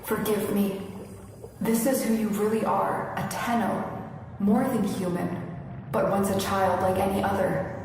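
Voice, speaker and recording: An actress playing a solemn, motherly guide in a video game memory, measured, grave and gentle. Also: mid-range voice, little pitch movement, natural voice. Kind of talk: video game cinematic acting. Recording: long echoing reverb, distant, noisy.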